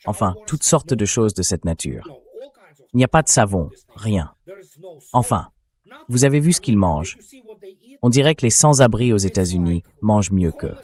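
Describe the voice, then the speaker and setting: A man's voice: on the low side, a little mellow. A man reading a translated interview as a guest commentator, speaking bluntly and emphatically about military affairs.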